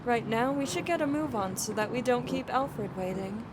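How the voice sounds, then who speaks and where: An actress playing a gentle, anxious mother in an audio drama, speaking tenderly and carefully. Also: on the high side, animated, normal speed, background noise, natural voice. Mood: uneasy. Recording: music behind the voice.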